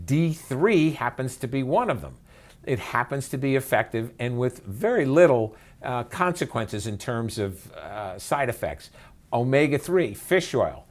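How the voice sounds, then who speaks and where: Emphatic man, mid-pitched expert guest. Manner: emphatic, rhetorical questions, folksy asides. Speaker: physician and author, interview guest. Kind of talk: conversational expert interview.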